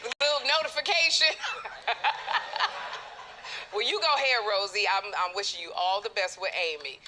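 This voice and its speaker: A woman hosting a studio talk show, gossiping playfully to a live audience and jumping up in pitch for emphasis. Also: moderate pace, background noise. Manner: animated, playful gossip, jumps up high for emphasis.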